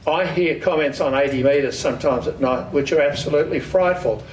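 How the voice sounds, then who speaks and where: An Australian man with a slightly high-pitched voice gives an informal club presentation, recorded from a distance in a very echoey room. He is plain-spoken and often poses questions that he then answers himself. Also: brisk, a little hiss or hum. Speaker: amateur radio club speaker. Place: community hall.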